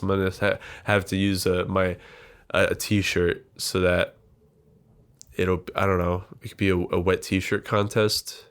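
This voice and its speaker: A man's voice: low voice, a bit bright. A young man hosting a comedy podcast, rambling casually and self-deprecatingly, his voice congested and nasal. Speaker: podcast host. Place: small warm room with a computer.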